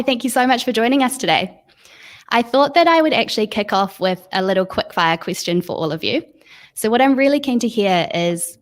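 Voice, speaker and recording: A woman hosting a webinar, introducing and moderating in a prepared, presentational style. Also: medium pitch. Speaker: webinar host.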